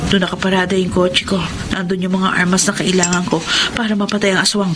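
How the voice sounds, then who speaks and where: Actress as a bold Filipino monster hunter, noisy backdrop. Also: female voice, fairly low pitch, level tone, quick pace, natural voice. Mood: grave, resolute. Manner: resolute, explanatory, commanding. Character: bold, determined monster hunter, brisk and defiant. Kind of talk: Filipino radio horror drama acting.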